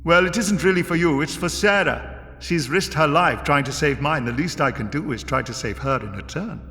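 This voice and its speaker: A man's voice: fairly high pitch, normal speed, pushed and bright, voice pushed up. Man as a breezy British adventurer, reverberant. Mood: earnest, persuasive. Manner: breezy, polite, wry British turns of phrase. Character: breezy, eccentric, courteous male adventurer, wryly persuasive. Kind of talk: dramatised audiobook reading.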